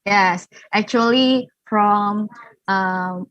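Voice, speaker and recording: Slow, shy young woman on a dull line. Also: mid-range voice, a bit bright, a little hiss or hum. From Indonesia. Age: young adult. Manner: shy, halting English with fillers. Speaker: student guest. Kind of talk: interview answers. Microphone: video call. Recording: dull video-call audio.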